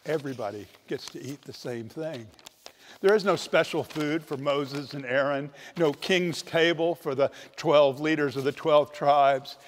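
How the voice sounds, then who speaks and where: Older priest preaching in a reverberant church. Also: male voice, fairly high pitch, a little hiss or hum. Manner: storytelling, varied emphasis, slows for key points. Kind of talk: sermon. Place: large church sanctuary. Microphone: handheld microphone.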